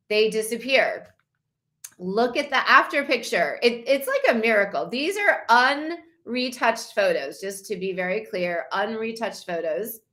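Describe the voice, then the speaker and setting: Slow, enthusiastic woman in her fifties. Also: a little high, a bit bright. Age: 50s. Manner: enthusiastic, repeats key points. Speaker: wellness challenge host. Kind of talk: presenting slides to an online group.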